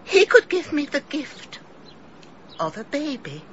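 A woman's voice: sits high, big pitch swings, takes it slowly, a bit bright, lo-fi sound, exaggerated voice. An actress playing a genteel middle-aged English village woman in a radio drama, confessing in a wistful, melodramatic, theatrical way. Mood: anguished, yearning. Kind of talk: British radio drama acting.

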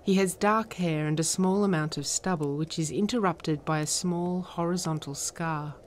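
A woman reading stage directions as scripted narration, in a measured, descriptive manner. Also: fairly low pitch, slight background noise.

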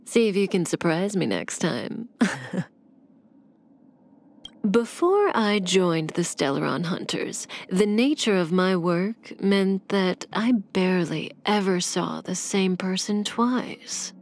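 An actress playing a calm, seductive female assassin in a video game, with a breathy, velvety voice and a languid, teasing delivery. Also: fairly low pitch, slow. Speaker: game character voice actor. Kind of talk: scripted video game character voice lines. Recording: clean studio voice over game music.

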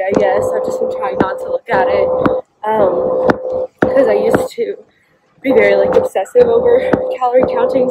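A young woman in her twenties talking casually to her phone camera, hesitant and soft, with many fillers. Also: mid-range voice, poor audio. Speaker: vlogger. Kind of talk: casual vlog chat. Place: large indoor gym, then a small room at home. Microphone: phone camera close up.

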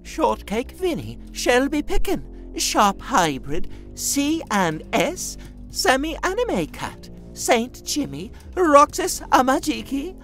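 A woman's voice: high voice, sing-song, slow, a bit bright, faint music behind, heavily acted. A woman playing a sweet, whimsical, chatty mobster's sister in a cartoon audio drama. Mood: whimsical, friendly.

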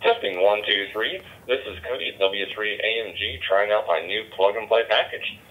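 A man's voice: fairly low pitch, moderate pace. A man making a test call, played back through a handheld digital radio speaker. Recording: tinny, phone-like two-way radio playback.

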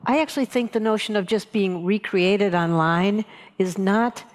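An older woman speaking as a panelist in a thoughtful, measured way on a conference stage. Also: mid-range voice, background noise. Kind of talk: panel discussion. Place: large banquet hall on stage. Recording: long hall reverb.

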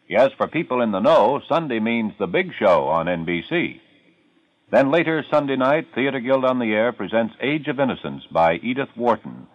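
A man's voice: medium pitch, no echo. A man giving a polished, emphatic promotional announcement as a 1950s radio network announcer. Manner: polished, emphatic sales delivery. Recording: dry, muffled old radio recording.

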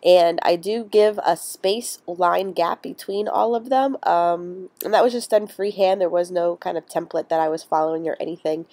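A woman's voice: lowish voice, normal speed, few pauses, dark tone, dry recording. Congested woman narrating a craft project. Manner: chatty, with frequent ums and restarts. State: congested from a cold. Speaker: crafting vlogger.

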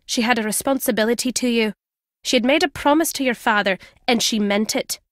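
A woman voicing a guilt-ridden, earnest middle-aged former journalist in a video game, in a measured, confessional way. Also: a little high, no room sound, clean studio sound, close to an everyday voice. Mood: earnest, regretful. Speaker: voice actor.

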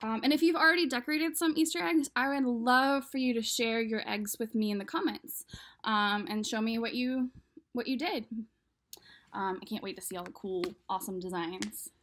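Higher-pitched woman chatting to kids. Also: normal speed, a bit bright. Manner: conversational, speaking directly to young viewers. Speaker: children's storyteller. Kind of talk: casual chat introducing a picture book to children. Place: small room at home, indoors.

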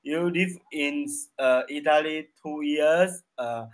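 Slow, halting Chinese-accented man. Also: on the high side. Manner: halting, simple broken English with repeated phrases. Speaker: English learner. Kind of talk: casual English practice conversation. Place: small room indoors, video call. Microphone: desk microphone.

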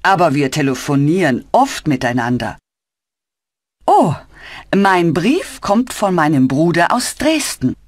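A woman voicing a friendly German woman who chats with her flatmate in a scripted language-course dialogue. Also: deep voice, no room sound, clean studio sound, full character voice. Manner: slow, clear and lively, with cheerful rises.

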